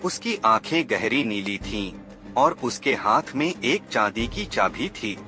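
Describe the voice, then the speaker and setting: Guy narrating a spooky story, dramatic n slow, sharp bright voice, music behind. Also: mid-range voice, sing-song.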